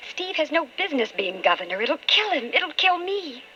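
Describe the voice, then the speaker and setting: An actress playing an anguished, impatient politician's wife in an old-time radio drama. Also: female voice, high-pitched, very animated, moderate pace, sharp tone, full character voice. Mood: bitter. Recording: muffled old radio broadcast with hiss.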